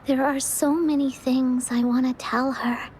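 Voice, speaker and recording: A woman voicing a shy, wistful young child in a video game, soft and hesitant, with an earnest, breathy delivery. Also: sits high, steady tone, a little slow, a little hiss or hum, rough recording. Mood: sad, yearning. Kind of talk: emotional video game voice acting.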